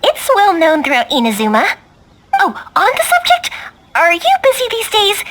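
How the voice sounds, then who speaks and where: A woman's voice: very high voice, huge pitch swings, moderate pace, fairly bright tone, heavily acted. An actress playing a cheerful young woman who makes fireworks in a video game, chatty and playful. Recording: game audio with music.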